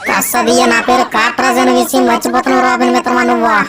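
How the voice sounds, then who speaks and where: A performer voicing an excitable, eager cartoon pig who exclaims a catchphrase before speaking. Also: mid-range voice, racing, natural voice. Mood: bossy, eager. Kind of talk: animated comedy cartoon dialogue. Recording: loud music bed under the voice.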